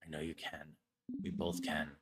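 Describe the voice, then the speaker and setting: A man voicing a solemn, weary king in a game, speaking in a slow, grave, imploring way. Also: very deep voice, level tone, slightly rough, voice pushed down. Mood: grave pleading. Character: solemn, pleading, world-weary king addressing a chosen traveler. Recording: music behind the voice.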